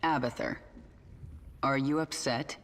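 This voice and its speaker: A woman's voice: very deep voice, slow pace, full character voice. An actress playing a hard, vengeful woman commander of an alien swarm in a video game, terse and commanding. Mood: dry. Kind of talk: video game character acting.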